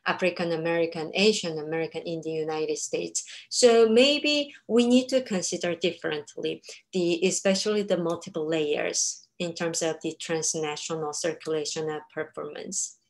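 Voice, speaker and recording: Korean-accented woman, 30s, academic presenter on a video call; lowish voice, measured delivery. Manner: measured, with frequent ums. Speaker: academic speaker. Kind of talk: webinar lecture. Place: home room. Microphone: laptop webcam on a video call.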